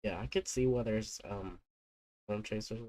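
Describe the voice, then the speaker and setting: A man hosting an unscripted live weather stream, talking haltingly with many fillers, his voice stuffy from a cold. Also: fairly low pitch, darkish tone, no room sound. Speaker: livestream weather host.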